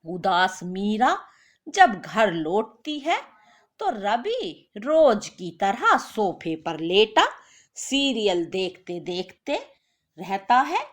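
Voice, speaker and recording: A woman's voice: mid-range voice, big pitch swings, extremely slow. A woman narrating a scripted story as a storyteller.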